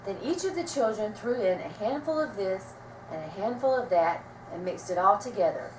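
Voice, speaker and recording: A woman reading a children's story aloud with expressive, dramatic storytelling delivery. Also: medium pitch, normal speed. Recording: roomy, distant mic, faint noise.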